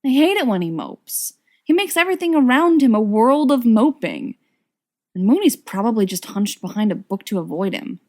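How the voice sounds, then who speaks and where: A woman's voice: on the high side, very animated, moderate pace, a little mellow. Moody young man, played by a female reader, grumbling audiobook dialogue.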